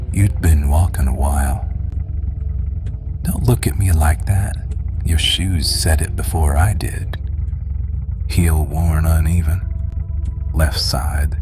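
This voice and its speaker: Man acting a very deep, gruff werewolf rancher. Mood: low, watchful. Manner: terse, deliberate, commanding. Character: dominant, watchful alpha werewolf rancher, gruff and protective. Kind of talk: slow, intimate ASMR roleplay acting.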